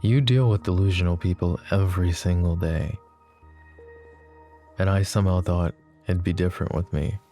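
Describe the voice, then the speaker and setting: A man playing a possessive, lovestruck mafia boss in an intimate audio roleplay, speaking softly and emotionally with halting phrases. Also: low voice, fairly even intonation, faint music behind. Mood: anguished, resigned. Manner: soft, emotive, halting delivery with stammers. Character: possessive, lovestruck young male mafia boss, tender and anguished. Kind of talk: intimate roleplay ASMR acting.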